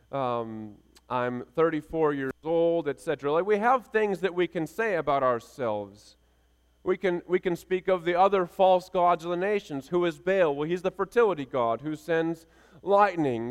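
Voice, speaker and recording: A pastor in his thirties delivers a sermon in a raised, clear and resonant voice. He builds emphasis through rhythmic, repeated phrases. His voice carries a long reverb, as in a church sanctuary. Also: on the high side. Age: 30s. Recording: reverberant hall sound.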